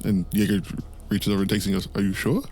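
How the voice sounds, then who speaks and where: A man's voice: on the low side, level tone, fast talker, a little mellow, soft background music. A man playing a tabletop roleplay game, speaking casually and a bit hesitantly in character.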